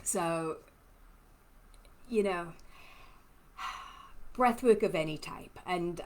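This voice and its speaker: A woman's voice: on the low side, very slow, darkish tone. A woman from Trinidad with a mixed, hard-to-place accent, a lymphoedema therapist giving a chatty live-stream talk and answering viewer comments. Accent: mixed, hard-to-place blend. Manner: chatty, personal, laughs often.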